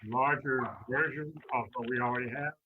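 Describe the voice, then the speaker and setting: A man speaking as a commission member in a meeting, deliberate and hesitant in how he puts things. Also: mid-range voice, steady tone. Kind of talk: public meeting discussion. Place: phone or online meeting call. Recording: phone-like narrow audio with clear noise.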